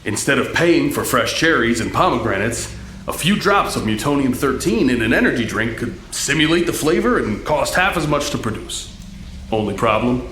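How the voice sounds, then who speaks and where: A man voicing a principled, pleading male mutant in an animated production. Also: medium pitch, normal speed, echoey room, a little hiss or hum, poor audio. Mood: earnest, firm. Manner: earnest, emphatic.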